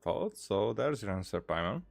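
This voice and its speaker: A man's voice: on the low side, sing-song, normal speed, gravelly. A man giving casual gameplay commentary, hesitant and thinking aloud as he goes. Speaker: gaming video host.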